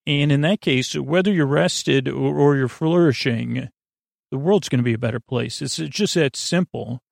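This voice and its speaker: A man hosting a bedtime podcast, rambling in a halting, meandering way and often trailing off mid-thought. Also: mid-range voice, no echo, studio quality. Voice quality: creaky, gravelly. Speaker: podcast host.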